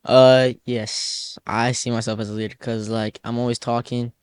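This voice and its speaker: A man's voice: medium pitch, level tone, moderate pace, clean tone. A teenage boy, a youth soccer player, answering interview questions in a loose, rambling way full of "like" fillers. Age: teens.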